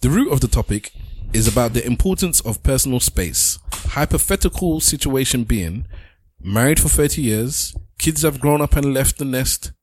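A man's voice: medium pitch, animated, moderate pace, darkish tone, no echo, low-quality recording. Dry-voiced male podcast host. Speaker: podcast host reading listener questions. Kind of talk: casual panel chat.

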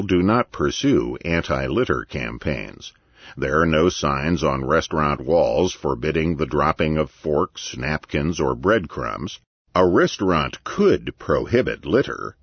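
Very deep, gravelly male narrator. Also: no room sound, highs cut off. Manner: measured, deliberate, emphatic phrasing. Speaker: audiobook narrator. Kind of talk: scripted narration of a nonfiction essay.

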